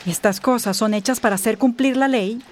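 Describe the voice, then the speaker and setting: An actress playing a stern, authoritative town mayor in a radio drama, speaking firmly and commandingly. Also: female voice, on the high side, a little hiss or hum, close to an everyday voice. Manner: firm, measured, commanding.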